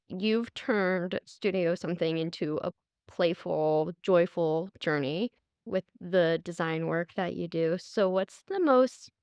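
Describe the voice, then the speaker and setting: A woman's voice: fairly low pitch, creaky, smooth, dry recording. A young adult woman hosting an interview in an upbeat, encouraging way. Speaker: podcast host.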